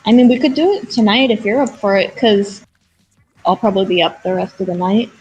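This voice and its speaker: A woman with a lisp and a very young-sounding voice, chatting quickly and casually as a co-host on a stream. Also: medium pitch, soft background music. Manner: chatty and quick, with many likes. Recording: dull lo-fi mic with faint noise.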